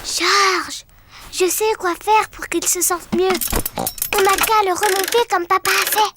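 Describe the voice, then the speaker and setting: A performer voicing a cheerful, eager little girl piglet, childlike and excitable, in a French-dubbed preschool cartoon. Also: very high voice, unhurried, noisy background. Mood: eager, playful. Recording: loud children's music behind.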